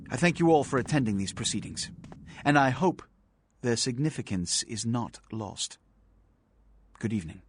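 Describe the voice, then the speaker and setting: An actor playing a pompous, authoritative vampire prince in a video game, speaking in a formal, oratorical and measured manner. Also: male voice, mid-range voice, a little hiss or hum, natural voice. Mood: magnanimous, stern. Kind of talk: theatrical video game acting.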